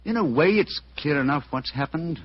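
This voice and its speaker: An actor playing an exacting English gentleman detective in a radio drama, incisive and articulate. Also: a little high, expressive, moderate pace. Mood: determined. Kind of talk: old-time radio drama acting. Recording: dull, hissy old radio recording.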